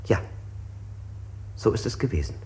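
An actor playing an anxious interrogated man in a 1960s radio play, recounting precisely and deliberately. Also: heavy background noise, close to an everyday voice. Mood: agitated, self-correcting. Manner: deliberate, self-correcting, precise.

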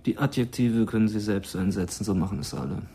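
An actor playing a young circus office clerk in a radio play, offhand and affectedly bored. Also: male voice, lowish voice, fast, warm tone, a little hiss or hum, lightly acted. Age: young adult. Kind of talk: crime radio play dialogue.

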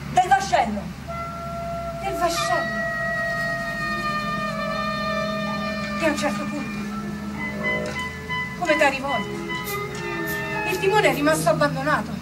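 A woman's voice: very high voice, low-quality recording. An actress with a Roman accent playing a spirited woman of the people in theatrical radio storytelling, exclamatory and full of rhetorical questions. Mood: vivid storytelling. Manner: exclamatory, rhetorical, repeating words.

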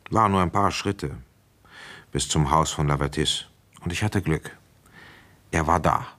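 A man playing a calm, probing village police commissioner in a German radio crime drama, speaking in a dry, matter-of-fact way. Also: extremely low pitch, a little slow. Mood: dry narration.

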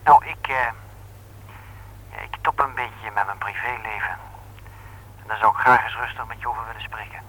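Casting: worried brother, forceful bright tone, halting delivery, vintage radio telephone scene, slight noise. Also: male voice, lowish voice, steady tone, poor audio. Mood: hesitant. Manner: hesitant, halting. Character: hesitant, worried brother phoning from work. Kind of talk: 1960s Dutch radio serial acting.

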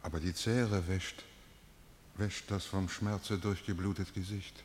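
A grave old chorus member intones mournful verse in a deep voice. It is a radio tragedy, echoing in a hall with faint rumble. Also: male voice, steady tone, a little slow, a little mellow. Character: grave, mournful male elder of a tragic chorus. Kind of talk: stylised verse radio drama acting.

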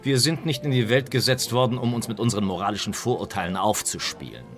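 An actor playing a worldly, cynical English gentleman in a radio drama, speaking with urbane, teasing irony. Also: medium pitch, fast, soft background music, lightly acted. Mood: dry irony. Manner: urbane, ironic, aphoristic.

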